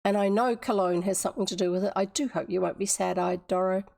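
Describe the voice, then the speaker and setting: A woman's voice: mid-range voice, steady tone, fast, vocal fry, darkish tone. A woman voicing an irreverent schoolgirl in an audiobook story, blunt and breezy in manner. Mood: breezy, teasing. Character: brisk, cheeky, irreverent schoolgirl.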